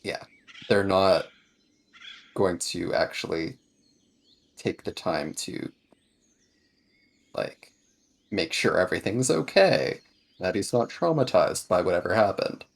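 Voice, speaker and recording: A man playing a tabletop roleplaying game, talking casually about the story in a halting, measured way. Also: sits low, expressive, gravelly.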